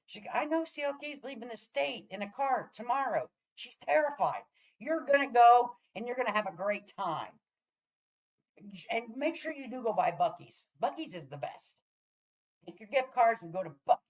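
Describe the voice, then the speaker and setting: A woman's voice: on the high side, animated, normal speed. A woman hosting a casual livestream, chatting to viewers in a brash, profane way. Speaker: livestream host.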